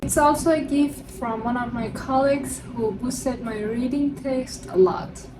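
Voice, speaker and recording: A young woman with a high, bright-sounding voice chats cheerfully through her stack of books, English being her second language. The mic sits some way off from her. Construction noise from next door carries through clearly. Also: unhurried, far from the mic. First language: not English. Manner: chatty and upbeat, presenting books one by one. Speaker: book vlogger. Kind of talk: casual vlog showing her unread books. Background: construction noise from a neighbor.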